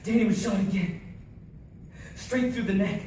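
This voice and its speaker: A man's voice: sits high, a little slow. A man acting a haunted Navy SEAL survivor in an intense, theatrical first-person account of a deadly battle. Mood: urgent, anguished. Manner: theatrical, intense, swinging from hushed to shouting. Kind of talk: dramatic first-person monologue. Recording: very echoey, distant, faint noise.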